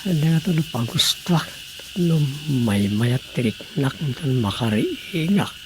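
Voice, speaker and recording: An actor playing a rambling, exclamatory Filipino villager who muses aloud in a radio comedy. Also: male voice, medium pitch, animated, a little slow, background noise, close to an everyday voice. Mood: fretting. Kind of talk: radio drama comedy acting.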